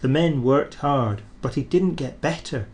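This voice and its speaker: Guy reading a kids train story, animated storyteller voice, middle pitch. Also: a little slow. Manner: expressive, animated storybook narration.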